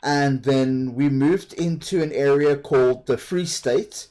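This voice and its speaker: A man's voice: medium pitch, takes it slowly, warm tone. A man from South Africa with a South African accent, chatting in a rambling way while streaming a game and laughing easily. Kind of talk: casual chat while playing a game.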